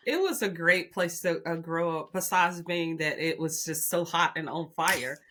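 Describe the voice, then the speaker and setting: A woman's voice: fairly low pitch, moderate pace, a bit bright. A middle-aged woman with a Southern Alabama accent, telling her life story earnestly as a podcast guest, with frequent restarts. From Huntsville, Alabama. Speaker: guest, executive. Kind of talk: candid personal storytelling.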